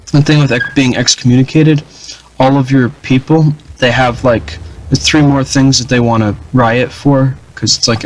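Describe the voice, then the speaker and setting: A young man talks through his strategy campaign in an unhurried, meandering way. His voice is flat and a bit dull, with lots of ums, uhs and restarts. His pitch sits in an ordinary middle range for a man. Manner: rambling, many fillers and restarts. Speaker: gaming channel host. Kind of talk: casual gameplay commentary.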